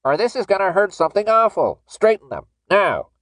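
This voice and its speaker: A man performs a cold masked worker in an audiobook story. The orders are curt and forceful, pitched up from a normal speaking voice. The recording is dry. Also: very animated, unhurried, dry recording. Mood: stern. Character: cold, commanding masked workers in protective suits. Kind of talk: audiobook character acting.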